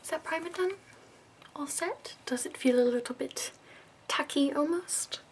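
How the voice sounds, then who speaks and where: A woman in her twenties voicing a prim, fussy, upbeat woman makeup escort from the capital, in a soft whispered ASMR roleplay. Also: high-pitched, measured pace, a little hiss or hum. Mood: prim, soothing.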